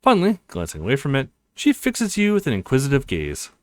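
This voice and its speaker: A man hosts a let's play and narrates a visual novel. His pitch is middling but swings widely as he talks, and the sound is close and dry. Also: wildly swooping pitch, brisk, no room sound. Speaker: let's play video host.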